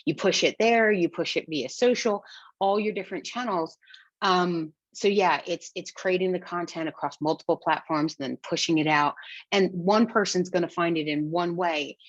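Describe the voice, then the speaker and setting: Middle-aged woman host riffing casually, kinda low voice, slightly muffled dry recording. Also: normal speed, dry recording. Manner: chatty, riffs with fillers and self-corrections. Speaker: podcast host. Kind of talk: casual interview chat.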